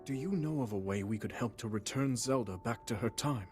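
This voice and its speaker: An actor playing a wise non-human king, speaking in a calm, reassuring, deliberate way. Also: mid-range voice, music in the background, close to an everyday voice. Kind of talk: game cutscene voice acting.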